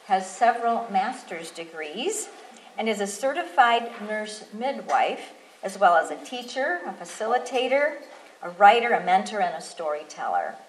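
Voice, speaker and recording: A woman hosting an event, introducing the guest speaker in an upbeat way and listing her credentials. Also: mid-range voice. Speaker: event host. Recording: echoey hall with faint noise.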